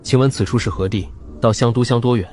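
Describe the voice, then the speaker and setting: An actor playing a lofty, unruffled ancient court sorcerer with formal, archaic speech, in an animated drama. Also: male voice, mid-range voice, quick pace, a little hiss or hum, natural voice. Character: haughty ancient male court sorcerer stranded in modern times. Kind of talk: dramatic monologue and dialogue for animated story.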